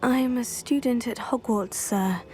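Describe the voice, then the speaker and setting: A woman's voice: fairly high pitch, measured pace, a little mellow, faint music behind, natural voice. An actress playing a polite, dutiful teenage female student in a fantasy video game. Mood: polite, attentive. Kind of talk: video game cutscene acting.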